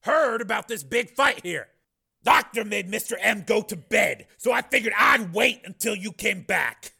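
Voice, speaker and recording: A man voicing a grumpy, gray-haired older mechanic with a gruff voice in an audiobook. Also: sits high, animated, a little slow, sharp tone, shouting, pitched above the performer's own voice, exaggerated voice. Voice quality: gruff, gravelly.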